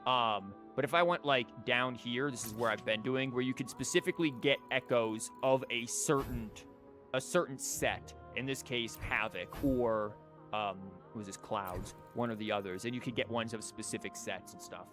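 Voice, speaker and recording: Male game streamer, casual and rambling, thinks out loud while explaining, over prominent game music. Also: medium pitch, normal speed. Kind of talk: casual live gameplay commentary and explanation. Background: video game music and character lines.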